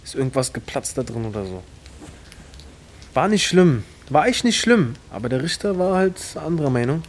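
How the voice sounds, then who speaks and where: A man's voice: mid-range voice, big pitch swings, normal speed. A man acting a streetwise young petty criminal in an audio drama, slangy, brash and blasé. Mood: casual, boastful.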